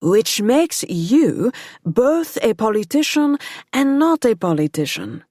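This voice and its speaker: A woman doing a cool, mocking French-accented gentleman. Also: fairly high pitch, sing-song, slow pace, no room sound, studio quality, pitched above the performer's own voice, heavily acted. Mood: ironic.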